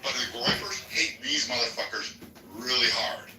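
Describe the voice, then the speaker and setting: A man's voice: medium pitch, moderate pace, pushed and bright, slight background noise. A man in a live audio chat room, ranting furiously and swearing in a heated argument over a phone mic. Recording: echoey, distant, faint music behind.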